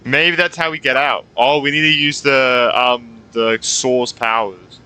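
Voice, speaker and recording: A man's voice: medium pitch, steady tone, measured pace, sharp tone, clear, resonant tone. A young man giving casual, reactive gaming commentary and reading game dialogue aloud in an informal way. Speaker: gaming streamer.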